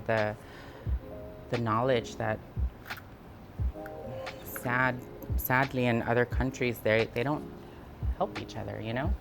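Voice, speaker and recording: A woman from Guam talking casually and reflectively in an interview, candid and conversational, with emphasis on key words. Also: rumbling low. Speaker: interviewee, freelance designer and builder. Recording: background music under the voice. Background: music.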